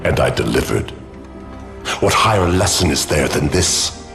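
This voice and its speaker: Very deep gravelly alien tyrant. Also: male voice, animated, heavily acted. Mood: smug menace. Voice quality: gravelly, booming. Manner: pompous, slow menacing declaiming. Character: towering alien tyrant, male, cold, menacing, grandiose. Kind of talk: video game villain acting. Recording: loud music behind the voice.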